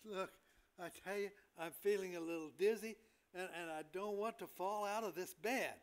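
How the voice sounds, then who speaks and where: An older man playing a flustered, put-upon hospital patient in an amateur play reading, stammering through halting protests. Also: sits high, clear, resonant tone. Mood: pleading.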